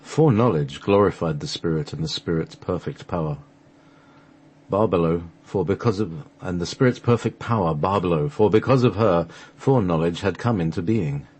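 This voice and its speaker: Deep, husky man reading scripted narration. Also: a little mellow. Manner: halting, stumbles over the script and restarts. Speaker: host and narrator of a radio play. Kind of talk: scripted narration of a sacred text.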